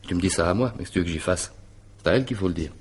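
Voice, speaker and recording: Sneering ex-husband, very low male voice, husky, ironic, flippant, vintage French radio drama. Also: racing, a little mellow. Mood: mocking. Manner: ironic, drawling mockery.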